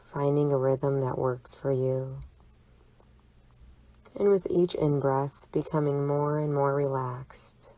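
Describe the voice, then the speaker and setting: A woman leading a guided imagery relaxation exercise, speaking in a soothing, deliberate, gently instructive way. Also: a bass voice, fairly even intonation, poor audio. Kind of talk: guided imagery meditation narration.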